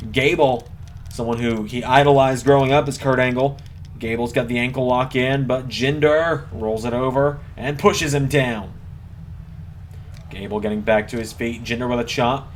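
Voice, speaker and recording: A man commentating play-by-play on a wrestling video game as channel host, with announcer-like, drawn-out emphasis. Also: medium pitch, slight background noise. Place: voice-over recorded at a computer over gameplay.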